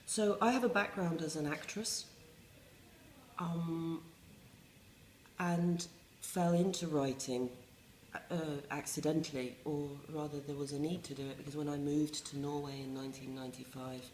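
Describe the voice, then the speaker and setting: A middle-aged English woman, a resident playwright, talking reflectively in a wry, self-mocking way with many pauses and restarts. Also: deep voice, fairly even intonation, a little mellow, roomy sound, a little hiss or hum, low-quality recording. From England. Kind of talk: reflective interview talk.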